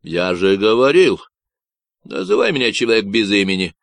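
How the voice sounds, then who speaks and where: A man's voice: fairly low pitch, very animated, gravelly, dry recording, clean studio sound. Man putting on a nasal Texan drawler. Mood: mocking. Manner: slow, mocking slang talk.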